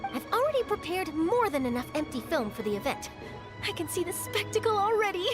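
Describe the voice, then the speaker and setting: A woman's voice: extremely high pitch, sing-song, a little fast. A woman voicing a keen, inquisitive young reporter in a video game, bubbly and eager in her delivery. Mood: delighted. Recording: game music behind the voice.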